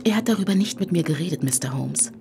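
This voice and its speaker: A low-voiced, dignified grieving widow. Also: female voice, animated, fast talker, gravelly, noisy background. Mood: guarded, composed. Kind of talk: radio play acting.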